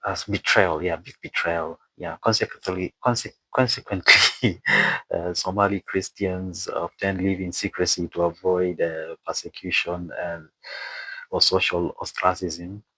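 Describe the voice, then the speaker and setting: A man who is not a native English speaker narrates an explainer from a script with asides, haltingly, stumbling over words and repeating phrases. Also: low-pitched, little pitch movement, pushed and bright, breathy edge, no echo, low-quality recording. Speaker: video presenter and narrator.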